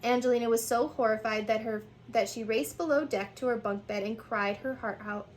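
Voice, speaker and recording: A woman reading a children's picture book aloud in an animated way, sometimes stumbling and rereading words. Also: fairly high pitch, brisk, a little hiss or hum.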